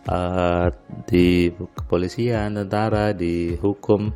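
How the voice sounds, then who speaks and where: A man recording a relaxed spoken diary on his home terrace at night, his voice a little nasal and stuffy, rambling with frequent fillers. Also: low voice, takes it slowly, soft background music. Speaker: motivational audio diarist. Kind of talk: casual spoken diary monologue.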